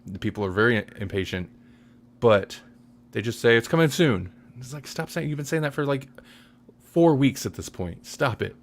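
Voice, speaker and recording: A man's voice: mid-range voice, animated, normal speed. A man podcast host in casual, rambling chat, often correcting himself.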